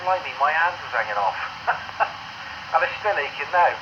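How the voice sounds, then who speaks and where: A man's voice: fairly high pitch, animated, moderate pace. An English man chatting casually as an amateur radio operator, rambling and self-deprecating over a two-way radio. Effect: two-way radio transmission. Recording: noisy, muffled amateur radio transmission.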